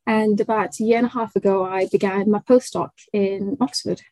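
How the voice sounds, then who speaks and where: A young woman from Tanzania, a research fellow, answering interview questions in a thoughtful way, often pausing with fillers and restarting sentences. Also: mid-range voice, fairly even intonation, a little mellow, no echo, rough recording. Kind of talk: conversational interview.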